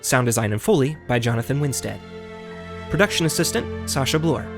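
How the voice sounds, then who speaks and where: A man's voice: mid-range voice, expressive, a little fast, gravelly. A man reading scripted credits as an announcer for an audio drama. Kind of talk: scripted narration. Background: music.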